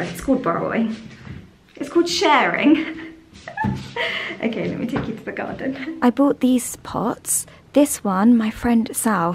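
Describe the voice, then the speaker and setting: A woman chatting casually and affectionately to her vlog audience. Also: mid-range voice. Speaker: vlogger, host of a personal channel.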